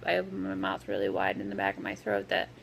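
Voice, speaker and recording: A woman vlogger talking casually with a sore, scratchy throat, pausing often with lots of "um"s. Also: rumbling low, very animated, gravelly. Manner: hesitant, full of "um" fillers. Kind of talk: casual vlog update.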